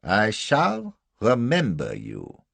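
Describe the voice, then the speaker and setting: A man's voice: lowish voice, wildly swooping pitch, takes it slowly, gravelly, a bit bright, slightly rough, no echo, full character voice. A man voicing a pompous, vicious Prussian officer, formal and coldly menacing, in an audiobook story. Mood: cold menace.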